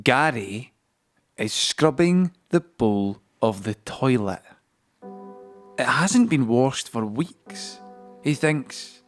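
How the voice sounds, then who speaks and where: A man narrating a scripted audio play as a storyteller, with deliberate phrasing and dramatic pauses, over a musical score. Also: mid-range voice, lively intonation, soft background music.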